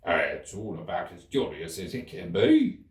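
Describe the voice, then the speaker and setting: A man's voice: sits low, wildly swooping pitch, unhurried, vocal fry, exaggerated voice. A man voicing an impatient, bossy gryphon in a storybook reading, brusque and commanding. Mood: gruff, opinionated. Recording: echoey room, distant mic.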